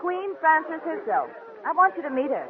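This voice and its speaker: An actress playing a doting, breezy Hollywood society sweetheart in a 1940s radio drama, gushing and coaxing. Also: female voice, sits high, big pitch swings, moderate pace, crowd noise. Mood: breezy, teasing. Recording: phone-like old 1940s radio recording.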